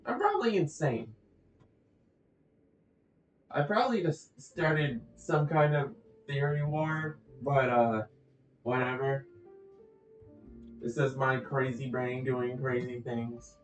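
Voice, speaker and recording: A man's voice: medium pitch, slow pace, pauses often, recorded from a distance. A man giving casual let's-play commentary, rambling and thinking aloud as he plays. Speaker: game streamer playing through a story quest. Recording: echoey, dull audio with music. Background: game music.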